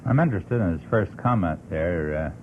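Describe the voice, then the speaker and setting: A man speaks in a very deep register as a radio panelist, choosing formal words in a measured way. The vintage broadcast is thin and slightly noisy. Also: animated. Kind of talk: discussion. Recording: narrow-band old radio, faint noise, rumble.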